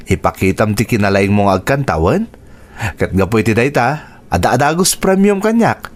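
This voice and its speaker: A man's voice: lowish voice, very animated, normal speed. An actor playing a fond, proud, playful father and husband in an Ilocano radio drama. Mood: proud, teasing.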